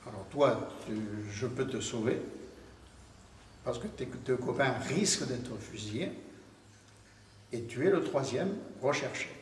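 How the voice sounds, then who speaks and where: A man's voice: medium pitch, slight background noise. A man in his eighties from Tunisia, recounting his wartime past as an oral history testimony, hesitant, with many fillers and restarts. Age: 80s. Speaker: war veteran giving testimony. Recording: distant, roomy recording with long reverb.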